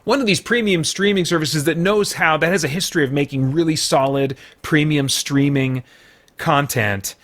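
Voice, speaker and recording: A man's voice: fairly high pitch, normal speed, fairly bright tone. A middle-aged man giving a casual, opinionated film review, rambling informally as he thinks aloud. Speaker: reviewer and show host.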